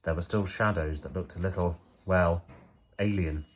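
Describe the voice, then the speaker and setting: Deep-voiced man reading a children's storybook. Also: normal speed. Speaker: teacher reading aloud.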